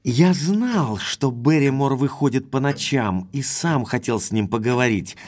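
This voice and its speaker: Man playing headstrong aristocratic baronet, mid-pitched, swooping intonation, decisive, emphatic, detective radio play. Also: expressive, a little slow, darkish tone, lightly acted. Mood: decisive, eager. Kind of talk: audio drama acting.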